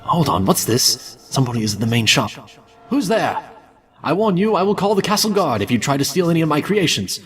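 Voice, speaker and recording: A man playing an obsessive male candy maker recording his experiments in excited, theatrical journal narration. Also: fairly high pitch, brisk, distant mic, noisy background. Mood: alarmed. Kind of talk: fanfiction audiobook reading.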